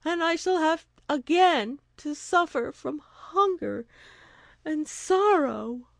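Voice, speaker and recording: A woman voicing a gentle, sorrowful little girl from a storybook, pleading and plaintive. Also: high-pitched, expressive, takes it slowly, gravelly, no room sound, voice pushed up, exaggerated voice. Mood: tearful. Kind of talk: storybook character voice.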